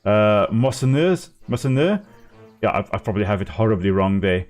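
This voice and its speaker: Chatty South African man, lively voice. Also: on the low side, a little fast, faint music behind. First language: Afrikaans. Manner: chatty, laughs easily, explains as he plays. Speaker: game streamer and ecologist. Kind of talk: casual live-stream commentary.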